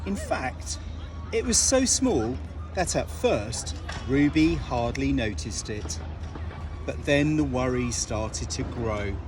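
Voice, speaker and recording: A man reading a children's storybook aloud in an expressive, deliberate way, with dramatic pauses. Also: mid-range voice, over music, a crowd in the background.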